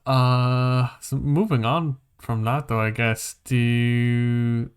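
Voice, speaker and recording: A man's voice: mid-range voice, fairly even intonation, very slow, clear, resonant tone. A man hosting a casual podcast about games and films, thinking aloud in a hesitant, rambling way. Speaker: podcast host.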